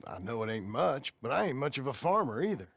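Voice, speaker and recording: A humble western game gunman trying to be a farmer. His American voice is gravelly and husky. He talks plainly and haltingly, in folksy frontier phrases. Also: mid-range voice, very animated, a bit bright, heavily acted. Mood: humble, hesitant. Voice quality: raspy, gravelly. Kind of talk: cinematic western game acting.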